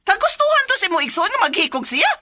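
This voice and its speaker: A woman's voice: extremely high pitch, very animated, fast, sharp tone, no echo, full character voice. An actress in a Bisaya radio drama playing a combative, threatening mother who fiercely defends her child. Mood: furious, threatening.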